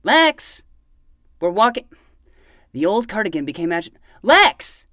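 A woman's voice: medium pitch, big pitch swings, unhurried, fairly bright tone, no room sound, studio quality. A woman playing an earnest, pompous twelve-year-old boy who talks like a private eye in a fiction podcast. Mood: impatient, self-important. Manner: grandiose, stubborn detective-speak. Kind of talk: scripted fiction podcast acting.

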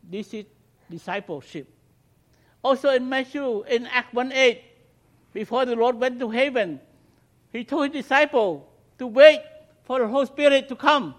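A middle-aged man with a Cambodian accent, a native Khmer speaker, giving an earnest mission presentation at a church in halting English, with rhetorical questions to the congregation. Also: very high voice.

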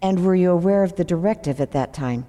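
A woman's voice: lowish voice, fairly quick, mellow, close to an everyday voice. A woman playing a procedural senator questioning a witness in an audio drama, formal, direct and methodical. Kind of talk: fiction podcast audio drama. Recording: echoey hall with long reverb.

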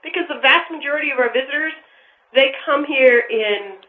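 A woman's voice: sits high, a little fast. A woman speaking as a park official in an interview, explaining things in an orderly way. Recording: echoey, tinny phone line.

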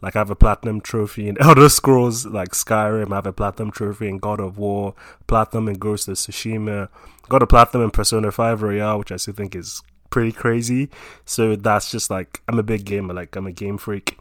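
A man's voice: on the low side, brisk, no echo, studio quality. A young man from Nigeria in his twenties, chatting casually about himself with lots of fillers. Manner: relaxed, rambling with many fillers.

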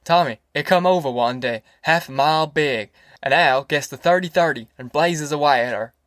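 A man's voice: on the high side, normal speed, fairly bright tone, no echo, studio quality, close to an everyday voice. Man as a folksy, chuckling Southern farmer father. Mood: amused, boisterous. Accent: rural Southern American. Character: boisterous, chuckling older farmer father telling tales. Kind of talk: audiobook character acting.

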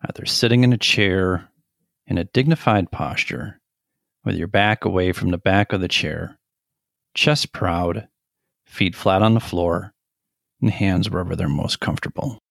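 A middle-aged man leading a guided meditation in a gentle, deliberate manner with long pauses. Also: sits low, creaky, no room sound, clean studio sound.

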